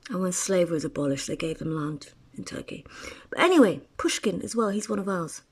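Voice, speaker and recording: A woman's voice: lowish voice, animated, brisk, husky. A woman from Eritrea talking at length in a casual personal monologue, rambling with restarts and asides. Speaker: writer.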